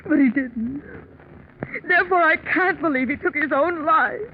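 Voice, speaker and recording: A woman's voice: sits high, moderate pace. An actress playing an anxious, hesitant woman accomplice in the style of 1940s radio drama. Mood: composed testimony. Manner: worried, pleading. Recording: muffled, noisy 1940s radio recording.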